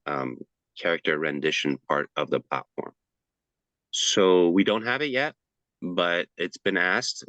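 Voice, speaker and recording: A man's voice: low voice, pushed and bright, no room sound. A man giving a casual presentation over a video call, chatty and loose, with frequent fillers and restarts.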